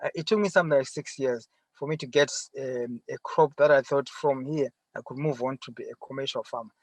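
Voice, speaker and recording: A middle-aged man with a Zimbabwean accent answering interview questions conversationally from inside a car, often pausing and rephrasing. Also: mid-range voice, no room sound, poor audio. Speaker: interview guest, farmer. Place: sitting in a parked car.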